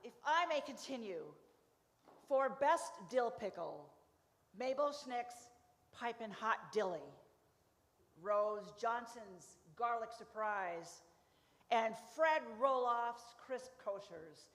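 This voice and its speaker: Unflappable female presenter, played by an actress, forceful bright voice, deliberate formal announcing, comic radio play. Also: on the high side, expressive. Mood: composed. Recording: reverberant church hall.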